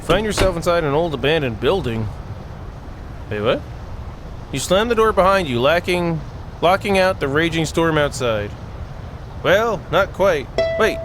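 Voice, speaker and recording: A man doing casual let's play commentary and reading a visual novel aloud, with playful asides and dramatic reading. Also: fairly high pitch. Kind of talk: casual gameplay commentary and read-aloud narration. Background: game music.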